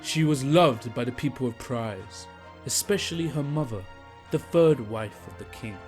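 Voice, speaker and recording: A man narrating a fantasy audio drama in a measured storytelling manner. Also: medium pitch, darkish tone. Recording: narration over faint music.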